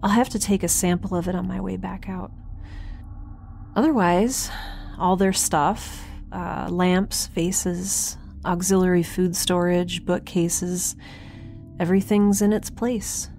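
A woman playing a nervous, wry young scientist who narrates a field log in a halting, conversational way for an audio drama. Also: fairly low pitch, steady tone, vocal fry, a little mellow, faint music behind. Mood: uneasy, observant. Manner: halting, self-interrupting, conversational.

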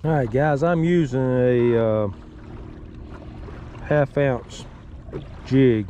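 A middle-aged man hosting a fishing vlog from a small boat on a lake, talking in a folksy, drawling way and getting excited when fish bite. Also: medium pitch, drawn-out words, highs cut off, slight background noise. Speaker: fishing video host. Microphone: action camera mounted on boat.

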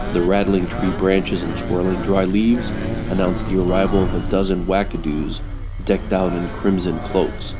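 A man playing a wisecracking, sardonic detective, narrating in deadpan, slangy radio noir style. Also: low-pitched. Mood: wry. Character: wisecracking hard-boiled male detective, sardonic and unfazed.

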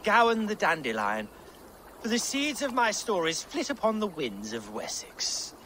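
An actor playing a tipsy, greedy, cowardly medieval storyteller in a video game, with a theatrical, rambling delivery. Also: male voice, high-pitched, wildly swooping pitch, a little slow, bright, forceful tone, a little hiss or hum, full character voice. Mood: sly, groggy.

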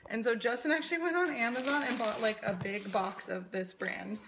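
A woman's voice: fairly high pitch, fairly even intonation, fairly quick, background noise. A woman in her twenties chatting casually in a home vlog, filmed on a phone at arm's length, often saying "like". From US West Coast. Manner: chatty, lots of "like", hushed to avoid waking a sleeping baby. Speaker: family vlogger. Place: small home rooms indoors. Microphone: phone camera at arm's length.

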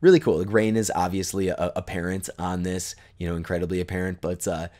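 Low-voiced man chatting about photos. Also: fast. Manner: relaxed, enthusiastic, lots of fillers and repeats. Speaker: photography YouTuber reviewing viewer photos. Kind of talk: casual chat. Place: bright living room at home. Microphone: desk condenser mic in frame.

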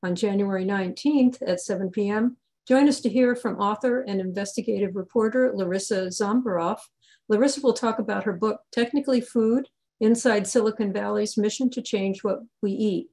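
A woman's voice: medium pitch, normal speed, warm tone, rough recording. A woman in her sixties giving formal closing remarks and announcements as an organizer on a video call. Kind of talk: formal announcements, partly read. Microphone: video call computer microphone.

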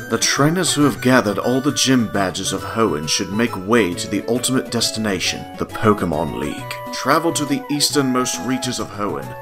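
Animated, courtly older gentleman played by a man. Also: medium pitch, lightly acted. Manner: grand, courtly. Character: elegant, theatrical older gentleman gym leader. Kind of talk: video game fan dub. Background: game music.

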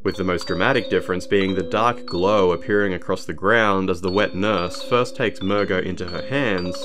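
A man narrating a scripted game analysis in a measured, formal documentary manner. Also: low voice, music in the background. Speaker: video narrator.

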